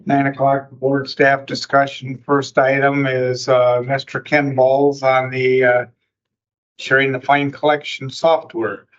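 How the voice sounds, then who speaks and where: A man chairs a county board session, matter-of-fact and businesslike. His voice stays very flat and barely moves in pitch. The meeting-room recording sounds dull and lo-fi. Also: unhurried.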